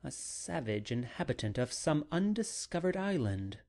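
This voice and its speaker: An audiobook narrator reading classic literature dramatically, with measured and deliberate phrasing. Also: mid-range voice, very animated, a little mellow.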